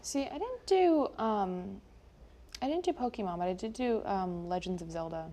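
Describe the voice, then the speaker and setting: A woman's voice: medium pitch, lively intonation, moderate pace, mellow, slight background noise. A young American woman chatting casually and informally as a host, often dropping "like" into her sentences. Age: young adult. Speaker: local TV station chat host. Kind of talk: casual unscripted chat.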